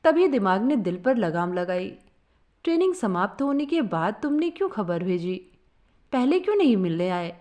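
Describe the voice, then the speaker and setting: A woman narrating a sentimental first-person story in an earnest, expressive reading style. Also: mid-range voice, moderate pace.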